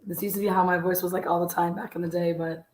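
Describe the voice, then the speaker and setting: A woman with a very raspy, worn-out voice, hosting a casual livestream case commentary in a halting way. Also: lowish voice, little pitch movement, a little mellow, echoey room, low-quality recording. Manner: halting, frequent throat clearing. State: voice worn out from overuse. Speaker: host.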